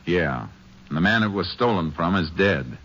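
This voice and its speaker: Very deep-voiced, terse Texas Ranger. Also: male voice, expressive, normal speed, a bit bright, full character voice. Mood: stern, questioning. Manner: terse, authoritative, matter-of-fact. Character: stern, terse Texas Ranger lawman, also narrating. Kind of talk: old radio western acting and narration. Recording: dull old radio drama recording.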